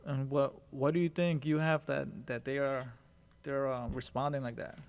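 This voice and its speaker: A man interviewing a guest, asking halting questions full of repeated words and slang like dope. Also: mid-range voice, flat delivery, clean tone.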